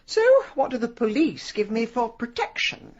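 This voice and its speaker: An actress playing a sharp-tongued, determined middle-aged London woman in a radio drama, brisk and sardonic. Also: a little high, dull audio, close to an everyday voice. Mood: indignant, sardonic. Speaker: actor in lead role.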